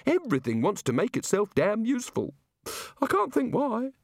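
A man voicing an anxious, absent-minded male god who talks in a high, puzzled, fussy way. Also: huge pitch swings, moderate pace, no room sound, studio quality, full character voice. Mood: fretful.

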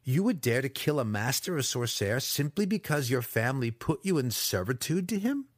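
Man playing pleading young dark elf student, earnest, desperate, high-pitched, lively intonation. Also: a little fast, no room sound, clean studio sound. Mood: challenging. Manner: earnest, urgent. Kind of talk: fantasy audiobook character voice.

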